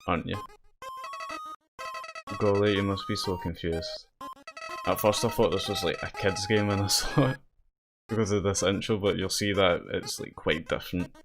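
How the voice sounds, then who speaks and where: Guy with a deep voice doing a casual lets play, kinda halting and rambly, music playing behind him. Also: steady tone. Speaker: let's play host. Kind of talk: casual gaming commentary, reading dialogue aloud. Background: game music.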